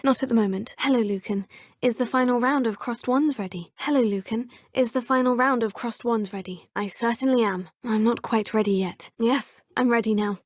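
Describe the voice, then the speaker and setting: A woman voicing a polite, plucky teenage witch student with a breathy voice, recorded close on a studio mic. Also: on the high side, dry recording, natural voice. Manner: polite, proper phrasing. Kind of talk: game voice clips.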